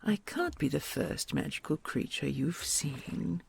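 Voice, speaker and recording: A woman voicing a kind, ancient queen dragon who whispers softly and gently, amused and patient, in an audio roleplay. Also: low voice, expressive, a little hiss or hum, exaggerated voice. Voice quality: breathy whispery, gravelly. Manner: slow soothing, amused, patient.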